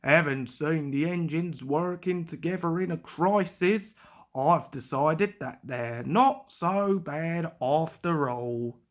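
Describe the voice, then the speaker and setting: Woman voicing a haughty, drawling rude steamroller. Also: deep voice. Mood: softened. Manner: sneering, slow, haughty. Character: rude, proud, casual male steamroller. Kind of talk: children's storybook character voice.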